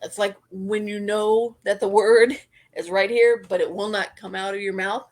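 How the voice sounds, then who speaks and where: A woman's voice: mid-range voice, steady tone, normal speed. A middle-aged woman co-hosting a podcast, talking in earnest, run-on explanations in casual chat. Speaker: podcast co-host.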